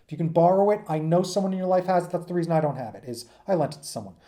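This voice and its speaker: A man's voice: on the high side, animated, rapid-fire, dark tone. A man giving an informal lecture to students in an enthusiastic, emphatic, rambling conversational way, as their teacher.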